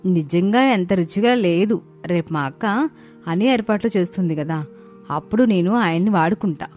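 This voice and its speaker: A woman voicing a sly, food-loving young daughter-in-law in a cartoon story, expressive and conspiratorial. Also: on the low side, moderate pace, faint music behind, close to an everyday voice. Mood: gleeful, sneaky. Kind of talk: dramatized moral-story cartoon acting.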